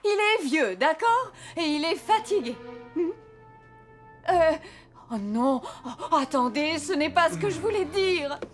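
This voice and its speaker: A woman's voice: very high voice, animated, a little slow, faint music behind. An actress playing a kindly, flustered motherly housekeeper in a French cartoon, fussy and hesitant as she chatters. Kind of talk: French animated series dub.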